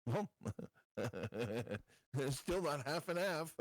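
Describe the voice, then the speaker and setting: Unhurried man in a very dry recording. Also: fairly high pitch, lively intonation, no echo. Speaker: tabletop game player. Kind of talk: casual game chat.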